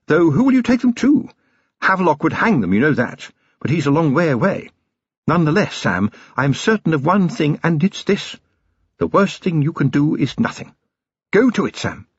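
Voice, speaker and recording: A man performs an aristocratic middle-aged wife for an audiobook. The voice is high and husky, with lively swings of pitch. She is polite but forceful and emphatic, with brisk affection. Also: no echo, dull audio. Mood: indignant, urging. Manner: emphatic, polite, upper-class phrasing. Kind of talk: audiobook character voice.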